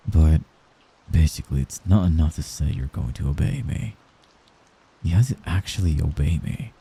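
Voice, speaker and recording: A man playing a young mafia heir in an intimate ASMR roleplay, a gentle, hesitant and possessive friend who gives orders apologetically. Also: rumbling low, lively intonation, creaky, breathy edge, heavily acted. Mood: earnest, cautious. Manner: soft-spoken, halting, apologetic while giving orders.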